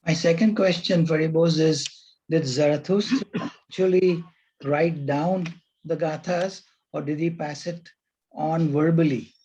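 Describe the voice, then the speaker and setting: Audience member, polite questioner, somewhat high voice, dull call line. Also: male voice, steady tone, measured pace, mellow. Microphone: video call. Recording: dull lo-fi video call audio.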